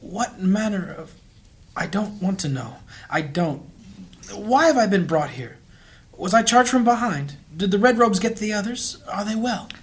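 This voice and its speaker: A man's voice: sits high, lively intonation, a little slow. A man playing an anxious, devout priest and healer in a fantasy audio drama, with an earnest manner. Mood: bewildered, anxious.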